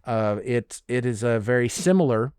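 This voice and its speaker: A man's voice: medium pitch, normal speed, clear, resonant tone, no room sound. Dry-sounding man, casual and emphatic. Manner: animated, emphatic, freely swearing. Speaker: podcast host. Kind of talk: casual solo podcast talk.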